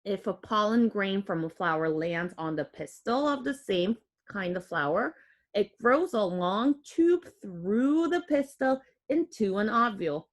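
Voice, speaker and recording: A woman's voice: medium pitch, a little slow. A woman reading a picture book aloud to young children like a teacher, expressively, with simple explanatory asides. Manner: expressive, child-directed, with audible gasps and exclamations.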